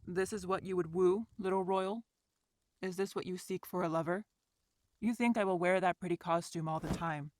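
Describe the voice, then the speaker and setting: A woman's voice: on the low side, fairly even intonation, darkish tone, dry recording, close to an everyday voice. A woman playing a huge, menacing female dragon in its true form, scornful and imperious in an audio roleplay. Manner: slow, imperious, challenging.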